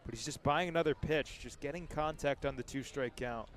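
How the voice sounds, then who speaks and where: A man's voice: a little high, fairly quick. A man giving live analysis as the color commentator on a baseball radio broadcast.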